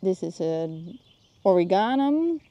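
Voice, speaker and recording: A Dutch woman filming herself outdoors in her garden with a handheld phone. She talks casually and often pauses to search for plant names. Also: mid-range voice, big pitch swings, dark tone, no room sound, lo-fi sound. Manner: searching for words, thinking aloud. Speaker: gardening vlogger.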